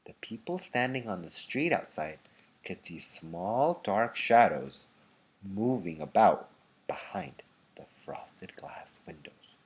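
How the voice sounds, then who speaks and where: A man voicing a gentle old grandfather in a storybook, telling a mystery softly, slowly and deliberately. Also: deep voice, sing-song, husky, full character voice, rough recording. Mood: hushed, mysterious. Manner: soft, hushed, slow and deliberate.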